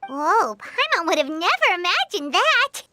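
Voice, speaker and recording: A woman voicing a tiny, nosy, excitable female fairy companion who talks about herself in the third person. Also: very high-pitched, unhurried, heavily acted. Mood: chirpy. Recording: game soundtrack music behind. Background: game music.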